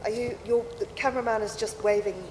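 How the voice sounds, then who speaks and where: A woman moderating a festival panel, brisk and polite as she calls for questions. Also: fairly high pitch, steady tone. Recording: reverberant festival hall on PA, faint noise.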